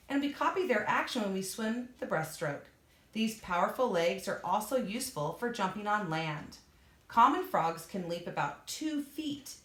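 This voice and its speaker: A woman reads an informational book aloud for children, speaking to her young listeners directly and pausing to explain as she goes. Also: mid-range voice, fairly bright tone. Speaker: reader and teacher for children. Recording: echoey room.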